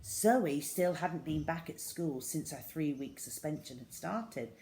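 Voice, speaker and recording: A woman reading a children's story aloud as an expressive storyteller. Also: deep voice, a little slow, a little hiss or hum, low-quality recording.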